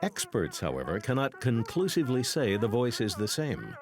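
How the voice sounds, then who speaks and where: A man narrating a true-crime documentary in a scripted, dramatic and deliberate style. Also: medium pitch, wildly swooping pitch.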